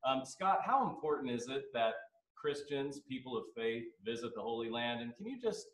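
A man's voice: medium pitch, expressive, normal speed. A man, hosting a live radio panel show and addressing listeners. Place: classroom at a seminary, small audience. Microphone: desk microphone on boom arm. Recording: roomy echo, somewhat distant.

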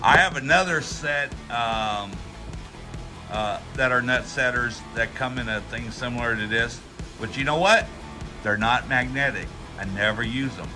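A middle-aged American man giving a casual, folksy hands-on product review, talking in a halting, rambling way with repeated words. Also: medium pitch, music in the background. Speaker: tool review channel host. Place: indoors at a workbench.

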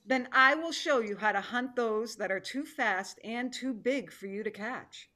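A woman's voice: on the high side, unhurried, bright, forceful tone. A woman acting a proud, impatient female tiger in a theatrical play reading, imperious and emphatic. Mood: commanding.

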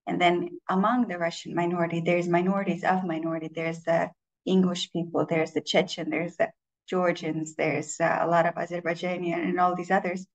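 Woman, from Estonia, conference speaker, fry in the voice, thoughtful, pausing. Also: on the low side, little pitch movement, rough recording. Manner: reflective, with frequent filler pauses and restarts. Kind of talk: personal presentation talk.

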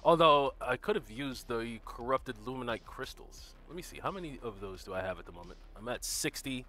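A man hosting a gaming livestream in casual commentary, greeting viewers by name and walking through his build, a little stuffy from a cold and clearing his throat now and then. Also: mid-range voice, animated, fairly quick, pushed and bright, faint music behind. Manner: walks through game build details. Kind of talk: casual live gameplay commentary.

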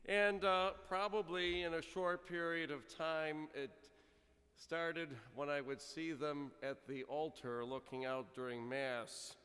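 A man's voice: fairly high pitch, flat delivery, slow pace, pushed and bright. A middle-aged man, a priest, giving a conversational sermon from the pulpit, telling stories with frequent fillers. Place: large church, speaking from the pulpit. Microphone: gooseneck pulpit microphone. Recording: church reverb from a fixed camera.

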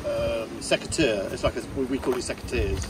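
A man's voice: medium pitch, a little fast. Older British man, noisy outdoors. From United Kingdom. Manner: explaining, with some stammered restarts. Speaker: helper on the farm. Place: outdoors on a hillside olive grove.

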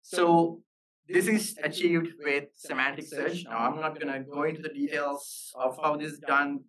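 A man's voice: a little high, fairly even intonation, moderate pace, fairly bright tone. Man giving a technical talk, slightly distant mic. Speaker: software engineer presenting at a meetup. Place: live meetup talk.

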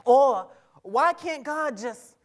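A man's voice: very high voice, expressive, takes it slowly, full character voice. A young man playing a skeptical, aggrieved teenage boy in a dramatic stage reading, with colloquial, pointed, questioning delivery. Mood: puzzled, indignant. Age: young adult. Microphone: lapel mic on a stage.

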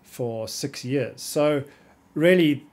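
Middle-aged South African man, measured. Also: mid-range voice, darkish tone. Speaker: guest coach. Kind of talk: casual podcast conversation. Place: small podcast studio, indoors. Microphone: studio mic on boom arm.

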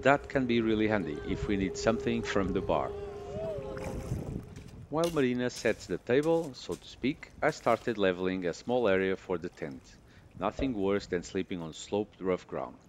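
A man narrating his sailing trip as a travel vlog voice-over, in a relaxed tone with wry understatement. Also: fairly low pitch, a little hiss or hum. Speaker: boating vlogger. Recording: music playing underneath.

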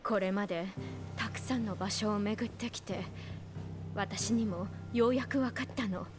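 An actress playing a gentle but resolute young woman in a Japanese video game, speaking softly and earnestly. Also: medium pitch, over music, lightly acted. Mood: earnest resolve. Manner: soft, earnest, measured.

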